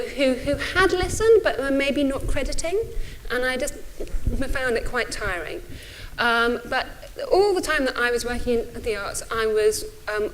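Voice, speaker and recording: A woman's voice: fairly high pitch, a little hiss or hum, poor audio. A woman in her forties with a stutter, giving an informal, hesitant and self-effacing symposium talk. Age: 40s. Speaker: speaker, runs a think tank.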